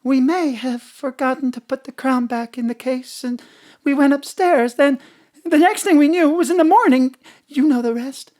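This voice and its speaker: An actress playing a president's elegant wife, airy, theatrical and evasive, in an audio drama. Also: female voice, sits high, normal speed, darkish tone, natural voice. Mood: evasive, nervous.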